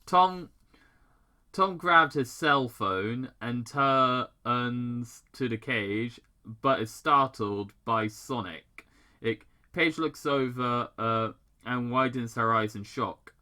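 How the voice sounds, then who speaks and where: A man's voice: medium pitch, takes it slowly, pushed and bright. A man hosting a casual fan fiction read-aloud, stumbling over lines and adding sardonic, informal side comments. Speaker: host reading fan fiction.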